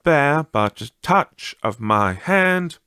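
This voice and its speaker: A man voicing a gentle, solemn storybook ghost, childlike yet ancient, in calm and measured pronouncements. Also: a little high, smooth, no room sound, studio quality, pitched above the performer's own voice, heavily acted. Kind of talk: dramatised storybook reading.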